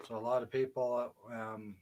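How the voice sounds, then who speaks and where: Soft-spoken man on a livestream. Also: medium pitch, level tone, moderate pace, low-quality recording. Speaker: co-host. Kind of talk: casual livestream chat. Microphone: shared desk mic, off-axis.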